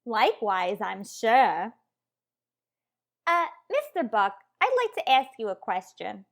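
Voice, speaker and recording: A woman's voice: on the high side, very animated, takes it slowly, creaky, exaggerated voice. A woman doing a gushing, naive tavern girl. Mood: gossipy. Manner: chatty, wide-eyed, slangy. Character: naive gossipy adult woman, tavern owner's daughter. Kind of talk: old-time radio comedy acting.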